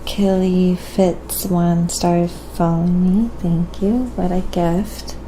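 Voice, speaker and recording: Casting: soft-spoken female ASMR painting streamer, slightly low pitch, very slow casual delivery, thinking aloud, noisy recording with light clinking. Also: fairly even intonation. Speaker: livestream painter and host. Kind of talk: quiet ASMR painting livestream, casual chat with viewers.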